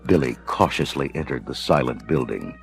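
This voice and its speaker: Deep man narrating a storybook record, dramatic, music playing behind him. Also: animated, moderate pace, gravelly.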